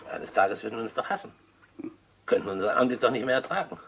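An appalled, agitated man in a radio drama. His voice is creaky and medium in pitch, and he halts and breaks off. There is faint noise behind him. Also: animated. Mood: bitter, mocking. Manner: halting, breaking off mid-sentence. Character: agitated, horrified man refusing a deadly experiment. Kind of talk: German radio play acting.

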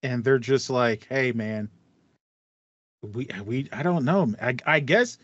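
A man's voice: medium pitch, takes it slowly, a bit bright. A man chatting casually as a gaming podcast host, sympathetic and mock-indignant. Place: small room with acoustic foam, indoors. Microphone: headset on a video call. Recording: slightly dull, lo-fi online call.

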